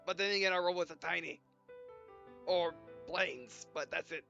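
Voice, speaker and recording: Dull lo-fi sound, woman, halting let's play narration, stumbling read-aloud, music behind. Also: lowish voice, creaky, fairly bright tone. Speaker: gaming video host. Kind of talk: let's play commentary, reading game dialogue aloud. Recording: dull, muffled lo-fi microphone. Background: game music.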